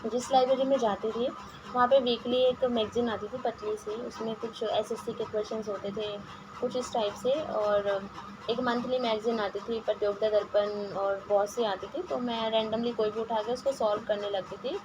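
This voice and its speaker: A hoarse woman with a sore throat, teaching exam-prep questions and answers with frequent hesitations and restarts, mixing English words into her talk. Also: a little high, fairly even intonation, normal speed. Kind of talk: reading quiz questions and answers, casual explanation. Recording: lo-fi home recording with noise.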